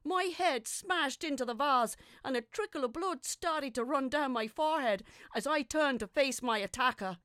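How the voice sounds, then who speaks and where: A woman reading scripted first-person fiction as a dramatic narrator, with a deliberate, suspenseful, theatrical delivery. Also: high-pitched, fairly bright tone, no echo, clean studio sound.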